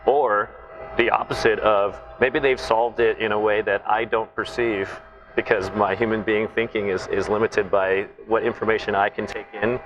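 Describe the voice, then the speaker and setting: A middle-aged man who grew up in Southern California, calmly explaining technical ideas in an interview and often checking in with "right?". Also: fairly low pitch, moderate pace, highs cut off, over music. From Taiwan, raised in Southern California. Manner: thoughtful, explanatory, with frequent "right?" tags. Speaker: naval flight test officer, guest. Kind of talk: interview conversation.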